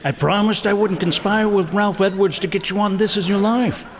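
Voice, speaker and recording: A man's voice: high voice, moderate pace, in a large hall, a little hiss or hum, natural voice. A man playing a sly, dry-witted old comedian in a 1950s radio comedy.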